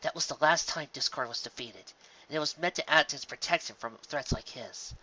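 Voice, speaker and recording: A man voicing a solemn elder royal sister in a storybook reading, giving grave warnings in a hushed, measured way. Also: a little high, highs cut off. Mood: grave, foreboding.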